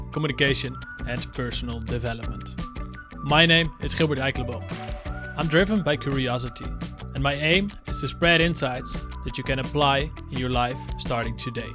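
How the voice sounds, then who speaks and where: A man hosting a podcast with a bright, forward voice and a mid pitch. He reads the intro and then asks questions in a friendly, upbeat manner, with faint music behind him. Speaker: podcast host.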